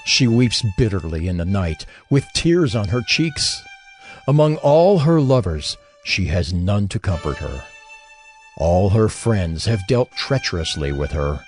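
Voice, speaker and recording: Guy narrating bible drama, really slow n grave, very expressive swings in pitch, solemn. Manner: grave, measured, dramatic phrasing. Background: music behind the voice.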